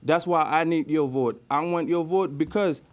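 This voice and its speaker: A young man student giving an earnest campaign speech, pausing on fillers as he goes. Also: a little high. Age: young adult. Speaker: student election candidate.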